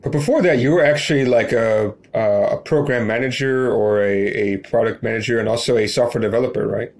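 A man's voice: mid-range voice, fairly quick, darkish tone. A man hosting a podcast, talking casually as he interviews a guest. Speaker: podcast host.

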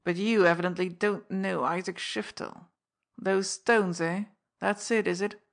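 A woman voicing a scheming older male diamond fence who snarls, speaking hoarsely and loudly. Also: medium pitch, steady tone, a little slow. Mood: snarling. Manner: fierce, then wheedling.

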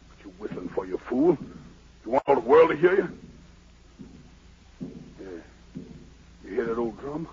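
A self-made emperor on the run, American, superstitious and haunted. His gravelly voice swings widely between muttering and crying out, in theatrical 1940s radio style on a muffled, noisy broadcast. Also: medium pitch, big pitch swings, takes it slowly, long pauses. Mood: anxious, weary. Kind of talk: 1940s radio drama acting.

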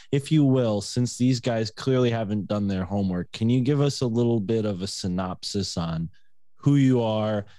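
A man in his twenties with a lowish, dark and mellow voice, recorded dry and close. He hosts a podcast, chatting casually as he leads into questions for a guest. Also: no echo. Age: 20s. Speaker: podcast host.

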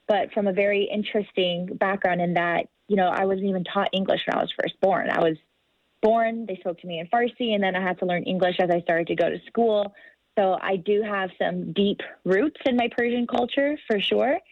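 A woman's voice: medium pitch, normal speed, no room sound, highs cut off. A woman, American-born, speaking as a guest in a podcast interview in an earnest, articulate and motivational way. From United States.

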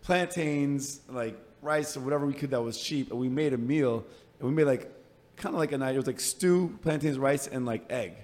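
Casting: American male podcast host, middle-pitched, loose and informal, quick to tease and banter with a guest. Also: a little mellow. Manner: playful, teasing, informal. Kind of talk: casual, bantering interview chat.

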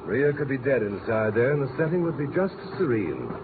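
An actor playing a stern, curt, ominous clinic doctor in a 1950s radio drama. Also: male voice, medium pitch, very animated, a little fast, close to an everyday voice. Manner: curt, commanding. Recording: tinny, noisy old radio broadcast.

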